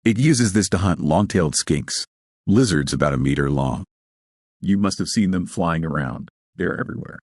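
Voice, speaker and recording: A man voicing a calm, evasive veteran station crewman in an audio story, explaining things in an unruffled way. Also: low-pitched, moderate pace, no room sound, clean studio sound.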